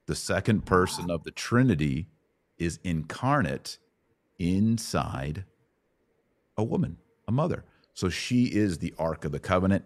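A middle-aged man with a low-pitched voice hosts a live Bible Q&A podcast. He teaches in a conversational, didactic way and puts questions to his listeners as he explains. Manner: didactic, asks checking questions.

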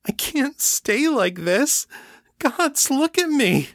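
Frantic young supernatural woman, a man puts her on, high and urgent, dry close sound; audiobook role. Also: big pitch swings, unhurried, a bit bright, no echo, exaggerated voice. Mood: tearful. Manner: rising, urgent pleading.

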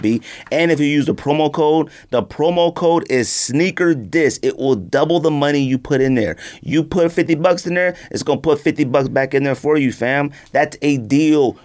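A man hosting a podcast, doing a casual, slangy ad read with off-the-cuff hype and repeated pitches to the listener. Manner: slangy, often addressing the listener as B or fam. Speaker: podcast host.

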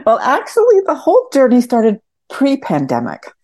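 A woman's voice: a little high, huge pitch swings, normal speed, a little mellow, no echo. A woman theatre director answering questions reflectively as an interview guest.

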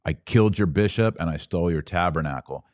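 A man's voice: low-pitched, fast, a little mellow, no room sound, clean studio sound. A man hosting a podcast, telling stories and explaining at length in casual conversation. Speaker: podcast host.

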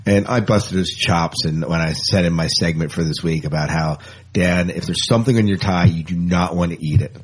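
A man's voice: low-pitched, normal speed, breathy edge, poor audio. A man co-hosting a casual sci-fi fan podcast, rambling and jokey, often repeating words for emphasis. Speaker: podcast co-host.

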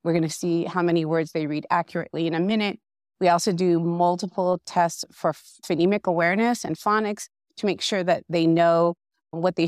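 A woman educator on a panel, explaining reading tests clearly. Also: lowish voice, no room sound, clean studio sound. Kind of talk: panel discussion.

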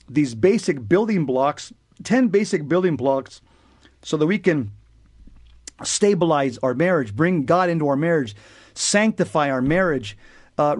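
Earnest, emphatic radio co-host, dry studio sound. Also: male voice, fairly high pitch, a little mellow, dry recording. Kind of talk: radio talk show storytelling and teaching.

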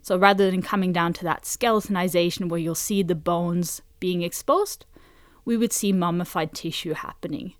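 A woman from Norway, a researcher giving measured, factual explanations as an interview guest. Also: on the low side, no room sound, studio quality.

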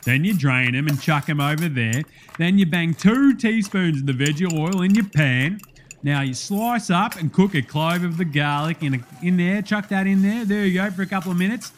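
A man plays a brash, matey Aussie TV cook with a high-pitched voice for a bloke. He has an Australian accent and rattles through kitchen instructions in casual slang, as in a parody cooking show. There is clear background noise around him. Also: normal speed, lightly acted. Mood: matey, instructive.

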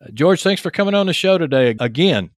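A man's voice: on the high side, lively intonation, quick pace, dry recording. Texan man hosting a podcast, dry close sound. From Texas, United States. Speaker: podcast host. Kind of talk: podcast hosting, sponsor read and interview chat.

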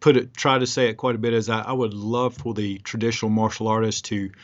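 Podcast host, man, slow and agreeable, mid pitch; slightly dull recording. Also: fairly even intonation. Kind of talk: casual interview conversation. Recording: muffled audio.

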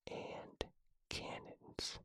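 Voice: drawn-out words, a bit bright. A reader voicing a crafty young storybook girl in a whisper, feigning alarm. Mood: feigned alarm.

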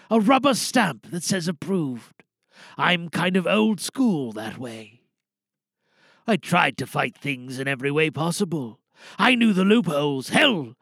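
A man playing a weary, resolute older insurance whistleblower, giving a dramatic speech to a crowd with deliberate pauses. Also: high-pitched, creaky, fairly bright tone, no room sound, studio quality, full character voice. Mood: bitter, intense.

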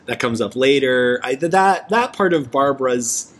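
A man's voice: medium pitch, lively intonation, moderate pace, fairly bright tone. A man hosting a casual podcast discussion, chatty and enthusiastic, often restarting his sentences. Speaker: podcast host.

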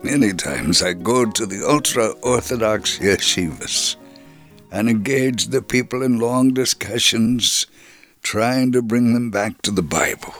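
A man's voice: on the low side, unhurried, fairly bright tone, faint music behind. A man performing a dramatic memoir reading as a devout, patient older man recounting his ministry. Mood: measured, reflective.

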